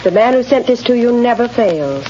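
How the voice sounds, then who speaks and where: On a muffled, hissy old radio recording, an actress plays an earnest woman helper. She speaks in a slightly high voice, composed and reassuring, in classic radio drama style. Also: expressive, moderate pace, mellow, lightly acted. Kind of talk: 1930s radio drama acting.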